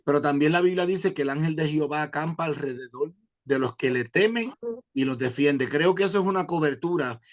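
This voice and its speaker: A man from Puerto Rico with a Puerto Rican accent, an evangelist giving his testimony in an interview, pausing often with "eh" and quoting scripture. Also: on the high side. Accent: Puerto Rican Spanish. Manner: hesitant, many "eh" fillers, quotes scripture. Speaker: evangelist guest.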